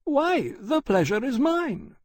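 Genteel older mother, played by a male reader: high, breathy, gracious storybook aristocrat. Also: big pitch swings, slow, darkish tone, no room sound, studio quality, full character voice. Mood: pleasantly surprised.

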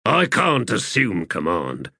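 A man's voice: on the low side, wildly swooping pitch, slow pace, gravelly, bright, forceful tone, slightly rough, no room sound, studio quality, exaggerated voice. A male narrator voicing a crude, sardonic marine sergeant who talks in coarse soldier slang.

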